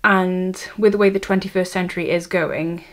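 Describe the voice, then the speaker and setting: A woman's voice: on the low side, steady tone. Nervous Welsh teen woman vlogging at home. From Wales. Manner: nervous, self-deprecating, halting with restarts. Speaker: student vlogger. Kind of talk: casual vlog to camera. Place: her bedroom. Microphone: camera on a tripod.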